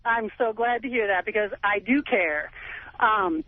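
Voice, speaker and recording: A woman's voice: on the high side, measured pace. An American woman in her forties calling a radio show, talking casually in a chatty, emphatic way. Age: 40s. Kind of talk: casual phone-in talk. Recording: tinny phone line on radio.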